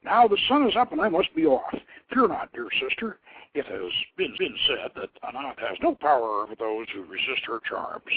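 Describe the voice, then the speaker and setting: A bold, cocky young prince of legend, read out by a man, with emphatic storybook delivery. The pitch is raised and swings freely, and the sound is narrow like a telephone. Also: wildly swooping pitch, moderate pace, creaky, slight background noise, heavily acted. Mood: breezy, confident. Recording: tinny phone-line internet radio.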